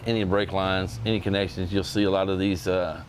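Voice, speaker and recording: Deep-voiced, halting male auto service advisor. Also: level tone, slight background noise. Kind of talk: unscripted interview answers.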